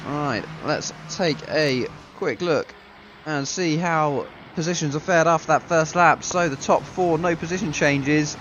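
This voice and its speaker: A man with a self-mocking, casual manner commentates a league sim race, a bit ill with a deeper voice than usual. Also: unhurried, fairly bright tone, muffled recording, a little hiss or hum.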